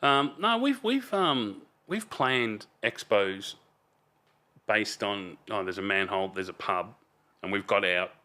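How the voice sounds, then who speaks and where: A man in his fifties with an Australian accent and a loud voice, telling stories as a podcast guest in a rambling way, trailing off and restarting a lot. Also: fairly low pitch, expressive, takes it slowly. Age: 50s. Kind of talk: casual storytelling chat.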